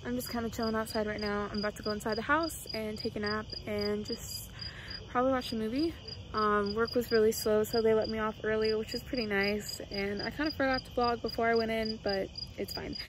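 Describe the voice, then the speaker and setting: Hoarse teen girl vlogging on her phone. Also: on the high side, fairly even intonation, fairly quick. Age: teens. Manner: chatty, laid-back, trailing off with ums. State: hoarse from laryngitis. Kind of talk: casual vlog narration. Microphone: phone at arm's length. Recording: phone audio with loud background noise.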